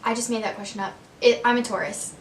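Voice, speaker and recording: A woman's voice: fairly high pitch, fast talker, echoey room, distant mic, slight background noise. A young woman in her twenties from Texas, chatting casually to the camera with lots of "like" and "um", laughing often. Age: 20s. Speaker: vlogger. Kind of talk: casual get-to-know-me chat.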